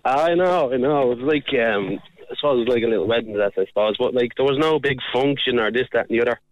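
A man's voice: mid-range voice, fast, muffled recording, a little hiss or hum. A middle-aged Irish man phoning in to a radio show, talking in an easygoing, slightly defensive way. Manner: easygoing, defensive, repeats "you know what I mean". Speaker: caller.

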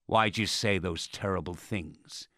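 An actor playing a smug, sardonic state radio host in a dark audio drama, theatrical and menacingly polite with pompous presenter patter. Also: male voice, low-pitched, a little slow, no room sound, clean studio sound. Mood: grave, sanctimonious.

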